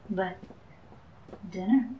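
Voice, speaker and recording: A woman's voice: on the low side, drawn-out words, far from the mic, lo-fi sound. A woman acts a sly, unflappable noir dame for a radio play. She is teasing and sardonic, heard a little off the mic in a roomy space with faint noise. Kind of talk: noir radio drama acting.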